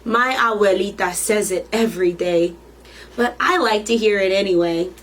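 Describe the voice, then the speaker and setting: A woman's voice: mid-range voice, moderate pace, a bit bright. A woman reading a children's picture book aloud as a storytime reader, expressively and with questions to the listeners.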